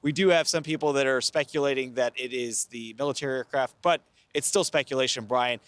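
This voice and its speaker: A middle-aged American man reporting live as a TV news correspondent, speaking in a measured, careful, explanatory way. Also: medium pitch, a bit bright, no room sound. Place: outdoors by a river at night.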